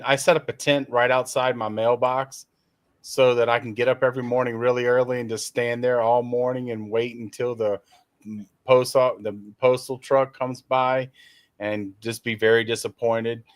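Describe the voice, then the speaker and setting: A man's voice: mid-range voice, fairly even intonation, moderate pace, no echo. A man hosting a casual podcast chat, joking with dry sarcasm.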